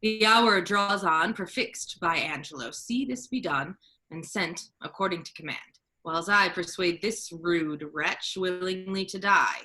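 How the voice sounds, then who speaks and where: Woman as a scheming duke dressed as a friar, lowish for a woman, bright n forceful, stagey shakespeare verse. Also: a little slow, poor audio. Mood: decisive. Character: scheming duke disguised as a holy friar, male, authoritative.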